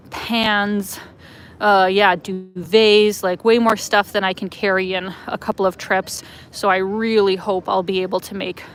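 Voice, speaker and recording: A woman's voice: mid-range voice, a little hiss or hum. A woman with a Canadian accent chatting in a rambling way, with many fillers, while she walks city streets on a live phone stream. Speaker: travel vlogger. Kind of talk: live walking-tour chat.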